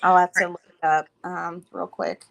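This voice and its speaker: A woman co-hosting a casual livestream chat, her voice a little raspy, talking easily with fillers. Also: fairly low pitch, flat delivery, moderate pace, fairly bright tone, lo-fi sound. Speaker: co-host.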